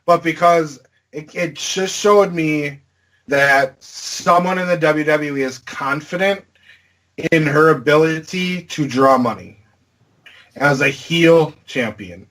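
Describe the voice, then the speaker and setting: A man co-hosting a podcast, giving his views in an opinionated, measured way with some joking. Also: a little high, a bit bright, rough recording. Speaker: podcast co-host. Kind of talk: casual chat.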